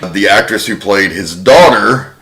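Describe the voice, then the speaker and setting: A man hosting a casual podcast discussion, informatively laying out facts in a measured way. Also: lowish voice, animated, crowd noise. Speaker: podcast host presenting research.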